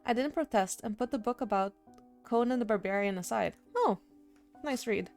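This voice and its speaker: Woman streamer, slightly creaky voice over music. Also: a little high, moderate pace, darkish tone. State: slightly under the weather. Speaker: streamer playing a horror visual novel. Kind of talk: casual let's-play commentary, reading game text aloud.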